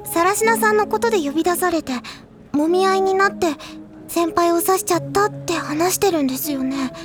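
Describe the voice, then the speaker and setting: 真面目で礼儀正しい後輩の女子高生を演じる女性声優、アニメ調のドラマ演技。. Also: female voice, very high voice, normal speed. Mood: worried. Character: earnest, polite younger high school girl. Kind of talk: anime-style audio drama acting. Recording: music under the voice.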